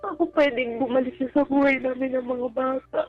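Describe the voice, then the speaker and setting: A woman with a Filipino accent giving a personal account on a radio advice show, talking casually and politely in a halting, deferential way. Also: sits high, steady tone. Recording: dull band-limited radio sound, faint music. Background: background music.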